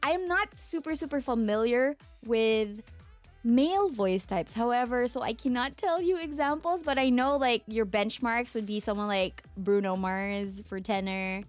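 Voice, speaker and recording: A young woman from the Philippines, a streamer chatting casually with her viewers and answering their questions, with a low voice from a lingering sore throat. She rambles with plenty of fillers and laughs. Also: unhurried, soft background music. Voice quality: mezzo-soprano singing voice. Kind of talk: casual chat with chat readers.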